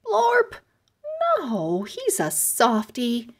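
Woman reading as a calm, friendly girl, high voice. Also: drawn-out words, full character voice. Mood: cheerful. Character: calm, friendly, confident girl guide from a fairy world.

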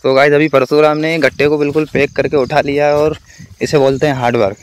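A man's voice: on the high side, little pitch movement, fairly quick. A man, vlogging casually on a phone outdoors. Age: 20s. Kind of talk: casual chat to camera. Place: outdoors in an open farm field. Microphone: phone at arm's length. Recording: noisy handheld phone outdoor recording.

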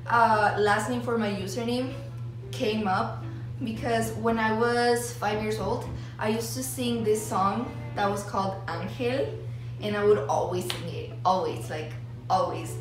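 A woman's voice: mid-range voice, steady tone, a little slow. A young woman from Mexico chatting casually to her phone camera as a vlogger, in a bubbly, nervous, self-deprecating way. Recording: very echoey room, a little far from the mic, music behind.

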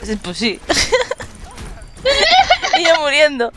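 A woman with an accent from Spain chatting excitedly while playing a shooter game with a friend, laughing and swearing often. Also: on the high side, slow pace. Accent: Spanish from Spain. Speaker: gaming channel host. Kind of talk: casual gameplay chat with a friend.

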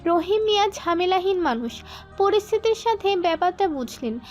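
A woman's voice: very high-pitched, lively intonation, moderate pace. A woman reading scripted dramatic narration for an audio story. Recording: muffled band-limited sound, music bed.

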